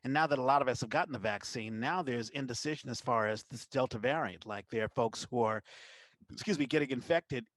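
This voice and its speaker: A man hosting a podcast, chatting casually about the news in a conversational, somewhat halting way. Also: medium pitch, a bit bright, no room sound. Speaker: podcast host.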